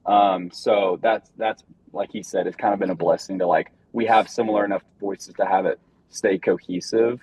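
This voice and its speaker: A man's voice: sits low, fairly even intonation, moderate pace, a little mellow, a little hiss or hum. A young man, a band member guest, chatting casually and rambling with lots of fillers. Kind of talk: casual interview chat. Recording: dull lo-fi sound.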